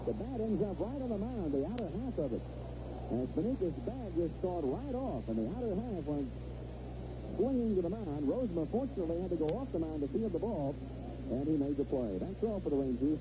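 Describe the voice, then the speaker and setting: Lively, high-pitched male baseball radio announcer, noisy recording. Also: brisk. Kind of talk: live sports commentary. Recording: noisy vintage 1970s radio broadcast.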